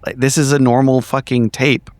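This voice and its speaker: A man's voice: mid-range voice, flat delivery, normal speed, a little mellow, no echo. A man playing a tabletop roleplaying game, improvising in character with casual, sweary, analytical talk.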